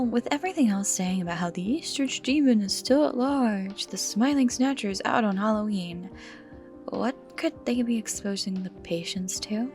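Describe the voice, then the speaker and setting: A woman delivers scripted horror commentary at a medium pitch. She stresses words for drama and often lets the ends of phrases fall to a breathy hush, while music plays behind her. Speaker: video essay host.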